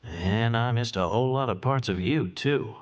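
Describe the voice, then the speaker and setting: A man's voice: mid-range voice, moderate pace, lo-fi sound, lightly acted. A man playing a roguish, irreverent alien senator in a video game, talking casually with teasing, lewd confidence.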